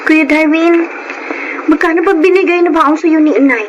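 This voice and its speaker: A woman's voice: very high voice, moderate pace, dull audio, over music. A woman with a Filipino accent playing a complaining schoolgirl daughter in a dramatic audio play. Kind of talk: dramatic radio-style acting. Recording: clearly noisy drama recording.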